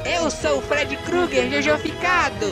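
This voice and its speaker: A performer voicing an evil nightmare baby villain in an anime parody dub, slow, taunting and grandiose in manner. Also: high-pitched. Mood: taunting threat. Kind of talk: theatrical anime parody dubbing. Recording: loud music behind the voice.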